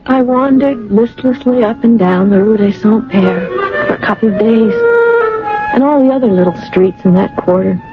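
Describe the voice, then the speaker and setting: A woman's voice: mid-range voice, animated, normal speed, natural voice. An actress playing a lovelorn, anxious young woman in a radio drama, earnest and wistful. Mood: wistful, sad. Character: lovelorn, anxious young woman adrift abroad, narrating her story. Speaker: lead actress and narrator. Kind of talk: radio drama acting and narration. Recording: narrow-band old radio broadcast, music.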